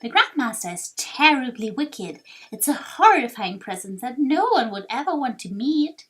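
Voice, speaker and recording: A woman's voice: high voice, sing-song, moderate pace, fairly bright tone, poor audio. A woman voicing a formal guild deputy in a video game, giving orders in an instructing manner. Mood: stern, instructing.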